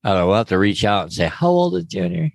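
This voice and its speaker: Jokey fifty-something man, lively voice. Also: fairly low pitch, fast, creaky, no echo, clean studio sound. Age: 50s. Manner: joking, rambling, goes off on tangents. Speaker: podcast co-host. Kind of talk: casual chat.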